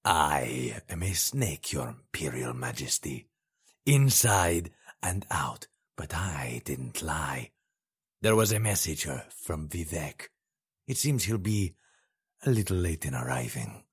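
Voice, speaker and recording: Man doing a very deep, husky snake-like schemer, slow and gloating, storybook villain. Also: lively intonation, exaggerated voice. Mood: hissing. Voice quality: hissing whisper. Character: sly, menacing male snake-like potentate.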